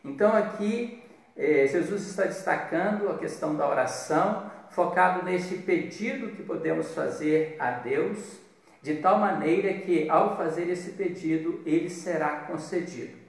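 A man in his fifties with a Brazilian accent, preaching a devotional in a measured, deliberate way with pauses. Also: fairly high pitch, gravelly. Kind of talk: devotional sermon with Bible reading. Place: small bare hard-walled room indoors. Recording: very echoey room, distant mic.

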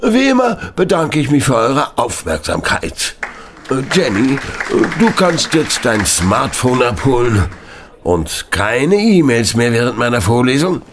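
A man playing a hesitant professor, lively intonation. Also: medium pitch, unhurried, some chatter behind, slight background noise. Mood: formal. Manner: hesitant, hemming, academic. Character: nervous, evasive male university professor and cryptozoology enthusiast. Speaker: actor. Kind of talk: audio drama acting.

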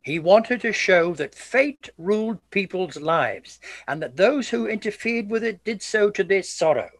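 A weary old sergeant major voiced by an actor in a radio play, guarded and ominous. The phrasing is courtly and old-fashioned, the pitch moves widely, and the sound is dry and close. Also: expressive, measured pace, no room sound. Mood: reluctant, ominous.

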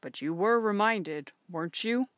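A stern but fair farm father from a storybook, voiced by a woman. The delivery is measured and calm, with quiet firmness in a close, dry sound. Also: mid-range voice, dry recording, close to an everyday voice. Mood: calm, gentle. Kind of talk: audiobook character voice.

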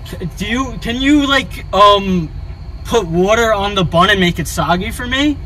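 A man's voice: high voice, lively intonation, measured pace, pushed and bright, rough recording. A man casually ordering as a drive-thru prank, hesitant and stammering, often repeating his words. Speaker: prank vlogger. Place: in a car at drive-thru speakers. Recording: car noise, outdoor drive-thru.

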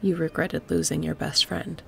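Woman acting a gravelly, wry female space captain. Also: deep voice, little pitch movement, moderate pace, soft background music. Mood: soft, probing. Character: guarded, wry female spaceship pilot captain, softening toward an old friend. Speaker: voice actor in audio roleplay. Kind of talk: intimate scripted audio roleplay.

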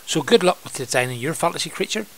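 A man with a Northern Irish accent, an art teacher giving an informal software demonstration for students. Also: on the high side, animated, quick pace, vocal fry, slight background noise.

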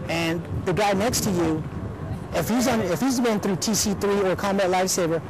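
A man speaks in an interview as a physician assistant. His pitch runs high. Clear background noise sits behind him. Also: normal speed. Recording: noisy outdoor recording.

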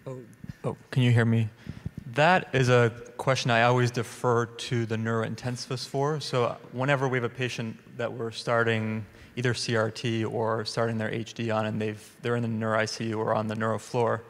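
A man's voice: mid-range voice, level tone, moderate pace. A man in his thirties, a physician, explaining his practice on a conference panel with a handheld microphone. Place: large conference hall stage. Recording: reverberant hall sound.

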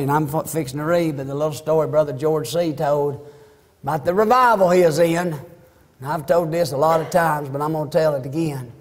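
An older man in his sixties with a Southern US accent, preaching a fervent, emphatic, folksy church sermon. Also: a little high. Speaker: Baptist preacher. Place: church sanctuary, indoors. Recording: echoey church hall with long reverb.

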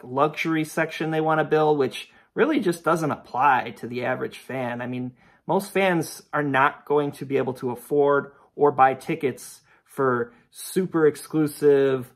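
A young man in his twenties giving blunt, opinionated sports commentary to the camera from a room at home. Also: medium pitch. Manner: blunt, dismissive, rhetorical questions. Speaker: sports video host.

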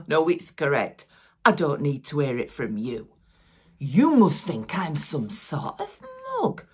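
Older actress doing a low husky lady crime boss, cold n threatening, dramatic monologue. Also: heavily acted. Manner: slow, deliberate, dropping to menacing near-whispers. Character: menacing older female crime boss, coldly controlled and contemptuous. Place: small plain-walled room indoors.

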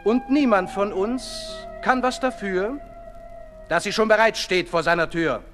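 An actor playing a solemn herald who declaims rhymed verse dramatically in a 1960s radio play. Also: male voice, high voice, unhurried. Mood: solemn, foreboding. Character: solemn male herald presenting a tragic scene in rhyme. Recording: reverberant 1960s radio recording. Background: music.

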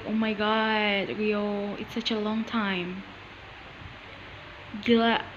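A woman in her twenties with a Jakarta Indonesian accent, talking in a gushing, dramatic, slangy way and switching languages. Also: a little high. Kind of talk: casual slangy skit monologue. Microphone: phone close up. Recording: dull, slightly noisy phone recording.

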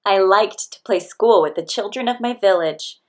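A woman reading a children's picture book aloud as scripted narration, with expressive, deliberate storytelling delivery. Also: medium pitch. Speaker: storybook reader.

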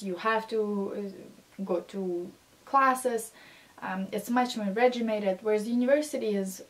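A woman's voice: mid-range voice, rough recording. A woman in her twenties, English not her first language, giving encouraging advice in a casual talking-head video from a small room. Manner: animated, gestures with both hands while talking; earnest, encouraging, many fillers. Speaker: vlogger.

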